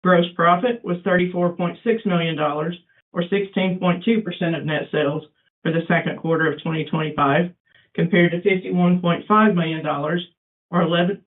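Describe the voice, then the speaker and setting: A woman's voice: fairly low pitch, steady tone, normal speed. Businesslike female finance executive over the phone. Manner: formal, businesslike. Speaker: chief financial officer. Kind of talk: scripted financial results reading. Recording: thin conference phone line.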